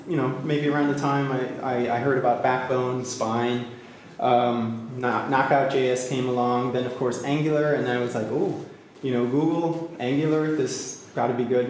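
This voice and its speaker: A man from Austria, giving a tech talk to a meetup crowd in English, halting, with many fillers and restarts, and a joking, self-deprecating manner. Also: mid-range voice, darkish tone. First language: German. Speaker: conference speaker. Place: large echoey hall with a crowd. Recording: very echoey hall, faint noise.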